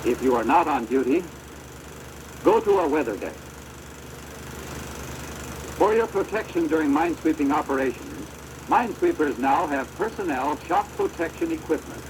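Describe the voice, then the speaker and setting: An American man giving formal, measured instructional narration for a training film, on a deteriorated old projector soundtrack. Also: fairly high pitch, expressive, noisy background.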